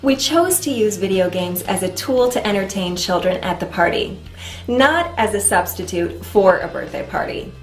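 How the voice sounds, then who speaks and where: A woman's voice: mid-range voice, animated, normal speed. A woman reading scripted promotional narration as the voice of an advert. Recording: roomy echo, music underneath. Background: background music.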